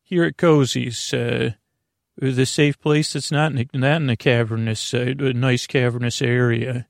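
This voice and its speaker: A man hosting a bedtime podcast, talking in a lulling, creaky way and wandering off on meandering tangents. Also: medium pitch, steady tone, unhurried, no echo, studio quality. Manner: meandering, tangent-prone, self-interrupting. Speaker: podcast host. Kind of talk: rambling bedtime podcast talk.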